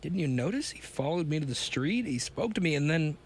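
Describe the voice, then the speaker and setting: A man voicing a nervous, disbelieving explorer who talks in a raised, halting voice. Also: a little high, animated, a little mellow, slight background noise, pitched above the performer's own voice. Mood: anxious.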